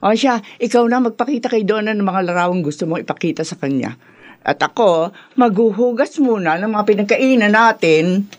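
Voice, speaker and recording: A woman's voice: medium pitch, lively intonation, brisk, natural voice. A woman playing a doting, teasing single mother of a grown son in a radio drama, effusive and boastful, with a Filipino accent. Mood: teasing, mock-offended. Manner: effusive, boastful about her son, playfully scolding.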